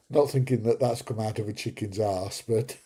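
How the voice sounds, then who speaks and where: A middle-aged man chats away on a casual livestream, telling rambling, jokey stories. His voice is very dark and husky, with a gravelly edge. He speaks at an easy, slow pace. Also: on the low side. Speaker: livestream host. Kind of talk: casual live chat reading viewer comments.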